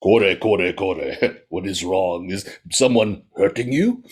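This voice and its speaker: A man voicing a calm, sly noodle seller who talks slowly and soothingly. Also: low-pitched, voice pushed down. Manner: slow, soothing, chuckling.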